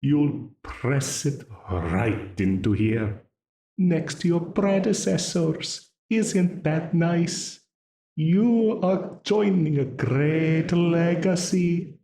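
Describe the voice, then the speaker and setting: Brief: male actor as a smug, menacing demon in an audio roleplay, very slow, swooping pitch, roomy echo. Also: lively intonation, vocal fry, mellow, full character voice. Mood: menacing, mocking. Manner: slow, taunting, with frequent laughs.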